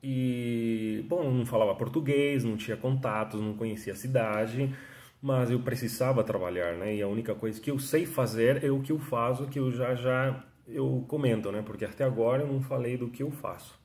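A mellow, warm-voiced man in his thirties with a Venezuelan Spanish accent chats casually to a phone held at arm's length. He talks in loose restarts and tag questions, and his voice rings around a small, echoey room at home. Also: mid-range voice, normal speed. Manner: chatty, restarts often, frequent tag questions. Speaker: freelance artist telling his story. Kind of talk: casual self-interview monologue. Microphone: phone camera at arm's length.

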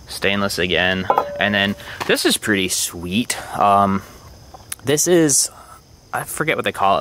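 A man's voice: on the low side, a little hiss or hum. Laid-back man showing his gear. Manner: relaxed and rambling, with many fillers. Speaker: motorcycle vlogger. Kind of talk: casual show-and-tell narration. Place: outdoors at a campsite.

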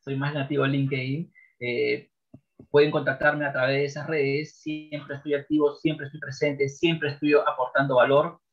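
A man's voice: mid-range voice, level tone, normal speed, highs cut off. A man in his forties, a sales trainer guest on a podcast interview, speaking from a home office over a video call, emphatic and repeating key phrases.

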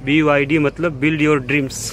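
A man's voice: on the high side, slow pace. Man vlogging at a noisy outdoor expo. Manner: relaxed, plain commentary while walking. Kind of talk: casual walkaround narration. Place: outdoor car expo on a lawn. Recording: noisy outdoor phone recording.

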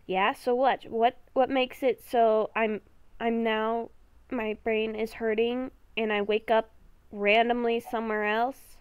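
A woman's voice: on the high side, little pitch movement, slow pace, smooth, no room sound. A woman playing a stubborn, exasperated young former werewolf in an improvised game roleplay, whiny, emphatic and argumentative. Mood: confused, exasperated.

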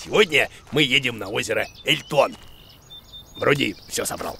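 A man's voice: mid-range voice, lively intonation, normal speed, vocal fry, sharp tone, slight background noise. A man voicing a grumpy, bumbling cartoon creature who plays a clueless teacher in a blustery, muttering way. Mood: self-important. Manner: blustery, hesitant muttering and exclamations. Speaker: main character of a children's educational cartoon. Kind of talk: animated cartoon acting.

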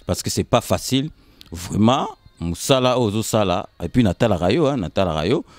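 A man in his fifties from Kinshasa with a Congolese accent, answering casually in short, halting, repeated phrases. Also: on the low side, animated, no echo. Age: 50s. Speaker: interview guest. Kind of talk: casual interview storytelling, switching between Lingala and French. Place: indoors on a sofa. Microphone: handheld microphone held by interviewer.

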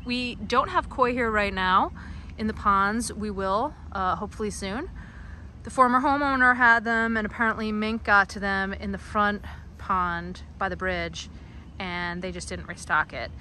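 A woman's voice: mid-range voice, normal speed, pushed and bright, a little hiss or hum. A middle-aged American woman giving a casual, enthusiastic walking tour of her backyard garden, chatting with lots of fillers, recorded outdoors on a phone. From United States. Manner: chatty, enthusiastic, frequent fillers and small laughs. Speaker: garden blogger.

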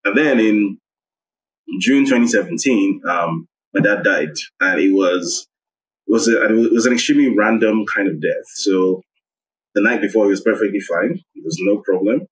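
A man, a guest recounting a personal story in a measured, reflective way. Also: fairly low pitch, poor audio. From Nigeria. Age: 30s. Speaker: guest, investigative journalist. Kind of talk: personal account in interview.

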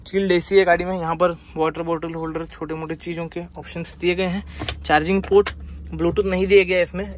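A man, a used car dealer filming a walkaround of a car for sale and briskly listing its features and price. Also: on the high side. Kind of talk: car walkaround and sales pitch. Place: outdoor car lot, then inside a car on the road. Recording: outdoor noise and traffic.

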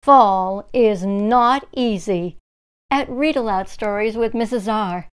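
Clear, slow-talking middle-aged woman. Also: medium pitch. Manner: deliberate, addresses children with questions. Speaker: children's story-time host. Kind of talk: presenting to young children.

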